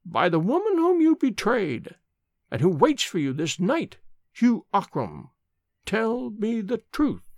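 A man voicing an ancient, sharp-tongued old nurse woman, speaking slowly in a high, quavering voice. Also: huge pitch swings, dry recording, clean studio sound, exaggerated voice. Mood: pleading.

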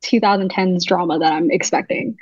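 A woman's voice: fairly low pitch, brisk, no echo, muffled recording. A young woman from New Jersey, a writer guesting on a podcast, talking chattily with lots of "like.". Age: young adult. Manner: chatty, heavy use of "like". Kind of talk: casual interview chat.

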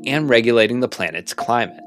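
A man's voice: medium pitch, expressive, a little fast, over music. A man with an American accent hosting a science podcast, moving between scripted narration and interview questions. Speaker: podcast host.

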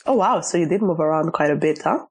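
A woman's voice: low-pitched, lively intonation, quick pace, husky, no echo. A woman hosting a podcast, chatting casually and informally with her guest as if with a girlfriend. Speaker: podcast host interviewing a guest.

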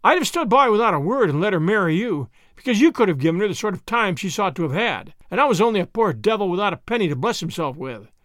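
A man's voice: sits high, big pitch swings, fairly quick, no echo, clean studio sound. A man playing a hot-tempered, heartsick young Englishman. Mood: anguished fury. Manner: clipped, bitter, impassioned. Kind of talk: audiobook character voice.

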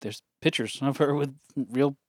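A man's voice: medium pitch, fairly even intonation, a little slow, a little mellow, no echo, studio quality. A man co-hosting a podcast in casual chat, hesitant and full of fillers. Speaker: podcast co-host.

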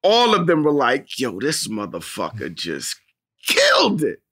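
Animated American man, casual podcast banter. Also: medium pitch, unhurried, slightly rough. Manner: slangy, profane, emphatic. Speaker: podcast co-host.

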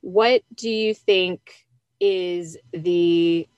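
A woman's voice: fairly low pitch, drawn-out words, smooth, no room sound, lo-fi sound. A young adult woman hosting a podcast, eager and full of praise for her guest. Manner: enthusiastic, gushing praise, lots of "I love it" and "so excited". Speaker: podcast host. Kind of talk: podcast interview.

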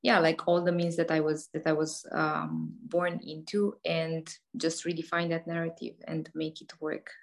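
Chatty Romanian woman, low voice. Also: monotone, moderate pace, gravelly, few pauses. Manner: laughs often, many fillers and restarts. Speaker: podcast guest, coach. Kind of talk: casual interview talk.

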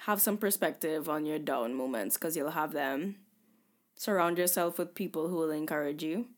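A woman's voice: low voice, moderate pace. A woman co-hosting a podcast in casual chat, earnest and reflective. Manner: earnest, reflective, wraps up the episode. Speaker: podcast co-host.

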